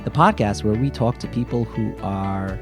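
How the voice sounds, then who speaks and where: A man's voice: lowish voice, moderate pace, over music. A man hosting a podcast in a casual, conversational way, somewhat hesitant and repeating words as he goes. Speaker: podcast host.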